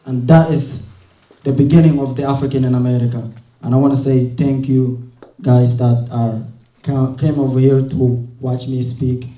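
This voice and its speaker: A man's voice: mid-range voice, little pitch movement, normal speed, dark tone, faint music behind. A man giving a casual talk at a showcase event, speaking over his slides, chatty and laughing often. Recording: echoey room from far off.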